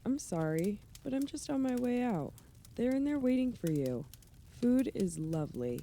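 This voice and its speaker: An actress playing a breezy grown daughter greeting holiday guests in an audio play. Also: female voice, on the high side, sing-song, normal speed, warm tone.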